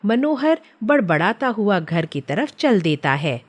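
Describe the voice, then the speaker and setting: A woman's voice: mid-range voice, big pitch swings, measured pace. A woman narrating a scripted children's story as the storyteller.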